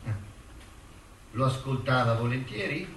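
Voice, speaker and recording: An actor playing an insistent, accusatory prosecutor who hammers a witness with questions in a theatrical courtroom radio drama. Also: male voice, mid-range voice, animated, a little slow, close to an everyday voice. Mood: probing, controlled. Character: hectoring, insistent male prosecutor browbeating a witness. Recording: roomy echo, distant mic, faint noise.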